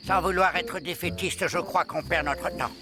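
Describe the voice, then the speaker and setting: A man's voice: sits high, fairly even intonation, normal speed, lightly acted. A man voicing a pedantic, pessimistic little male animal in a children's cartoon, wordy and grumbling, over music. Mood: gloomy grumbling. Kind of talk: children's fairy-tale cartoon dubbing.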